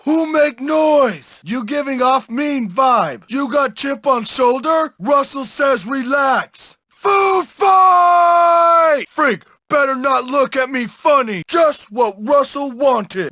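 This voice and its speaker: A man voicing a huge, dim-witted, aggressive yet childlike schoolyard bully in a video game, with a loud strained voice and blunt broken English that refers to himself in the third person. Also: extremely high pitch, slow, hardly any pauses, dry recording, studio quality, full character voice. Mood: menacing. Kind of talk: video game character lines.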